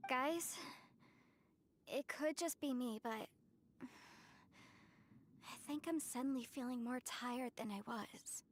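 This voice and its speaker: A gentle young woman in a video game. She speaks slowly and hesitantly in a high voice. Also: a little hiss or hum. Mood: tired, hesitant.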